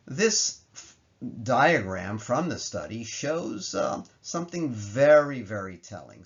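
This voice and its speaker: A man's voice: mid-range voice, dull audio. A man presenting a slide lecture, explaining research in plain language in a conversational, emphatic way. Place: voice-over recorded over slides.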